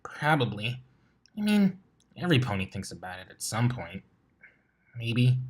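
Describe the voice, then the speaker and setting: A man's voice: medium pitch, sing-song, measured pace. A man voicing a brash young female pegasus pony with a casual, dry, teasing manner in a story reading. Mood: smug, casual.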